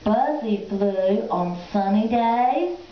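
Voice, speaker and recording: A woman's voice: a little high, animated, slow pace, slight background noise. A woman narrating a scripted children's story as the storyteller. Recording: echoey room, muffled, crowd sounds behind.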